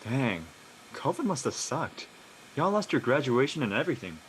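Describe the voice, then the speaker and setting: Lively, slangy student son played by a man. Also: medium pitch, moderate pace, slight background noise, natural voice, poor audio. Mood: curious. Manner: casual, slangy, inquisitive.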